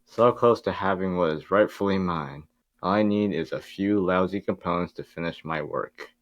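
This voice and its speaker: A man's voice: deep voice, moderate pace, dull audio, close to an everyday voice. A man voicing a smug, gloating mad scientist villain in an amateur cartoon table read. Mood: mocking.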